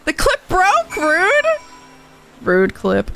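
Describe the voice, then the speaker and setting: A woman livestreaming a game, giving casual, playful and expressive commentary with some swearing. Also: very high-pitched, extremely slow, gravelly, faint music behind. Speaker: game streamer.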